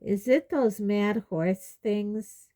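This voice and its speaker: A woman's voice: medium pitch, fairly even intonation, unhurried, dark tone, close to an everyday voice. A woman voicing a blunt, sceptical, exasperated teenage boy wizard in a storybook reading. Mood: uncertain. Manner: exasperated, demanding.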